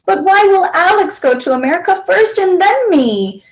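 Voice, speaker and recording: Storybook little girl, played by a woman: Mexican, timid and sad, high small voice, lively swings. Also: normal speed. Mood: questioning.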